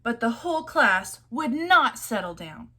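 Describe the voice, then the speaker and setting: A woman's voice: fairly high pitch, big pitch swings, a little slow, pushed and bright. A woman storyteller reading a children's picture book aloud expressively, adding chatty asides to the listeners.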